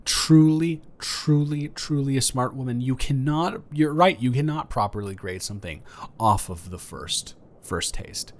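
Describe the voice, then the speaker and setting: A man's voice: mid-range voice, unhurried, lightly acted. A man improvising a romantic audio roleplay as a flirty, playfully boastful neighbor, talking straight to the listener in a teasing, stammering, rambling way.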